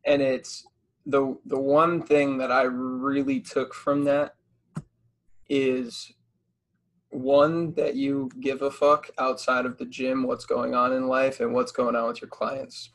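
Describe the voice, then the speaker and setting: Male podcast host, early 20s, American, mid-pitched, conversational and slangy, very informal. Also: little pitch movement, slow. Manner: slangy, bro-like informal phrasing. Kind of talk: casual conversational interviewing.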